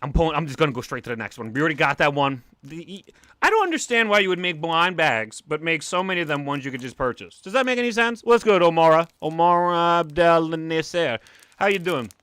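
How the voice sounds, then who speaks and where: Excitable young New York man. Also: on the high side, a little fast, clean tone, no echo, studio quality. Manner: excitable, animated, talks like a cartoon character. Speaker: comic collector streamer. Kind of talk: casual livestream chat.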